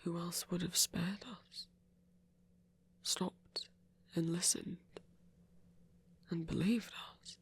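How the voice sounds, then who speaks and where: Guy doing a soft flirty vampire, super breathy, slow and lingering, asmr story. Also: high-pitched, fairly even intonation, heavily acted. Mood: reassuring. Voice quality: breathy, husky. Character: flirty, soft-spoken male vampire, curious and reassuring. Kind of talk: intimate ASMR audio roleplay.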